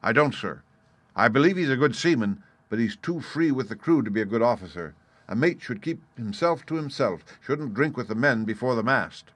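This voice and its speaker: A man voicing a blunt, stern ship's captain in an audiobook, clipped and forceful in his plain speaking. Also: mid-range voice, animated, moderate pace, no echo, voice pushed up. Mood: firm, insistent. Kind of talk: audiobook character voice.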